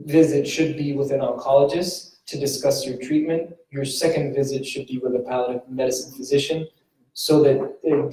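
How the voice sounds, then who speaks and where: A man's voice: medium pitch, dark tone, distant mic. A man who is a palliative medicine physician, speaking earnestly on a panel and repeating words as he searches for them. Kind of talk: panel answer. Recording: roomy hall from off mic.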